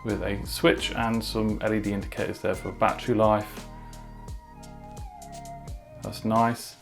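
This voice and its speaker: A man's voice: fairly low pitch, level tone, a little slow, creaky, soft background music. A man talking casually through a product unboxing and review in an informal way, with short asides.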